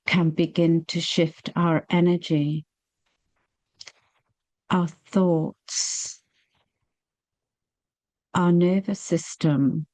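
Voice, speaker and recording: A woman leading a guided meditation, speaking gently and soothingly with long, unhurried pauses. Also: fairly low pitch, no echo.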